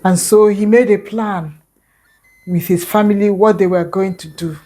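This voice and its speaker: A woman's voice: medium pitch, steady tone, normal speed, warm tone. A woman with a Nigerian accent narrating an oral folktale conversationally, adding small explanatory asides as a storyteller.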